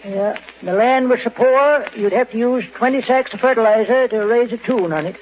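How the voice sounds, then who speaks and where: Dour old farmer, really high voice, deadpan country drawl, old noisy radio comedy. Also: male voice, normal speed. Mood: gloomy deadpan. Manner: laconic, deadpan rural drawl. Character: gloomy old New England farmer, dry and rustic. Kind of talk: 1940s radio comedy acting. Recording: dull noisy vintage radio broadcast.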